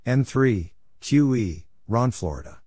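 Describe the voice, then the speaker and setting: A man narrating practice drills, reading out call signs and short radio exchanges in measured, separate letters and words. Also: medium pitch, lively intonation, mellow, dry recording, clean studio sound.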